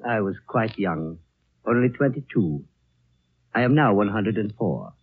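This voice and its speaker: Courteous old lama, lowish husky voice, formal and evasive, narrow vintage radio recording. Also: male voice, lively intonation, heavily acted. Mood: courtly, measured. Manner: formal, measured, politely evasive. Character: courteous, discreet lama aged over a hundred, looking younger. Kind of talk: 1930s radio drama acting.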